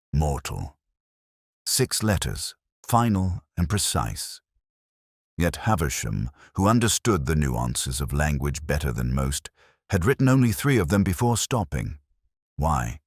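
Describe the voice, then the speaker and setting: Man acting melancholy detective narrator, very low voice, expressive rise and fall, measured, literary, scripted radio mystery. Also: no echo, clean studio sound, heavily acted. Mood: brooding. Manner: measured, literary, brooding delivery.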